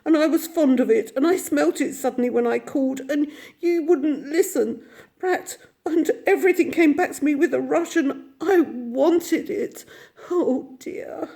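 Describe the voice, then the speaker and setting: A woman voicing a timid, homesick little male mole who pleads and sobs in a high voice. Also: measured pace, gravelly, dark tone. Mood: sobbing. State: crying.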